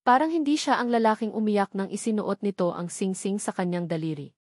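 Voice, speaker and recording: A woman's voice: medium pitch, a little fast, a little mellow, no room sound. A woman with a Filipino accent reading a dramatic scripted story as an audiobook narrator, in a dry recording.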